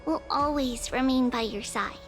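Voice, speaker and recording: A woman playing a small, childlike, caring companion in a video game, soft and comforting. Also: high voice, moderate pace, faint music behind, natural voice. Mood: tender concern.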